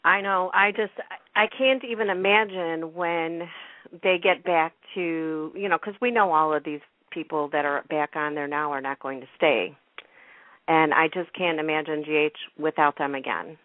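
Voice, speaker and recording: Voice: medium pitch, moderate pace, no room sound. A radio host chatting casually and agreeably, often chiming in with short affirmations. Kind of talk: casual chat. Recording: muffled, narrow phone-like line.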